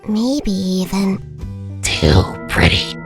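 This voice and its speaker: A woman's voice: medium pitch, wildly swooping pitch, drawn-out words, soft background music, full character voice. A woman voicing an innocent, airheaded teenage anime girl with a dreamy, naive manner. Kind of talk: scripted cartoon parody acting.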